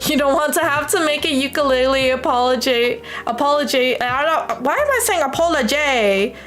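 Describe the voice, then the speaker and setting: A young woman from the United States chatting casually on a livestream in an expressive, affectionate, gushing way, as a streamer talking to viewers. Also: sits high, brisk, faint music behind. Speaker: live streamer.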